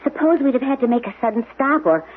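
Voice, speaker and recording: A doubting wife, higher voice, slightly off-mic, tinny old radio. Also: female voice, expressive, fast talker, a little hiss or hum. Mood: doubtful. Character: wife, warm yet doubtful. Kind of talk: 1970s radio drama acting.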